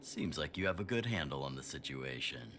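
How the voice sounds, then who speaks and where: Seasoned, pragmatic male leader for a game; rock-bottom register, gruff and forceful, measured authority. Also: a bass voice, lively intonation, a little hiss or hum. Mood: measured approval. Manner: blunt, measured, commanding. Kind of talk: scripted video game voice acting.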